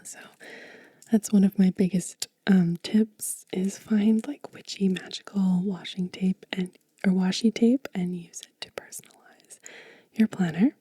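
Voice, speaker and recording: Woman, hushed ASMR host, very dark relaxed tone, breathy, gentle, close-miked, dry sound. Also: mid-range voice, no echo. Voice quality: whispery, breathy soft voice. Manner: gentle, unhurried. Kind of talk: soft-spoken ASMR show and tell. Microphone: close mic.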